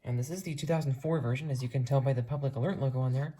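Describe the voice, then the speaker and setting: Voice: mid-range voice, steady tone, rapid-fire, dark tone. A person with an earnest, rambling, enthusiastic way of talking, giving a casual tour of a hobby collection. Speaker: hobbyist video creator showing a radio collection.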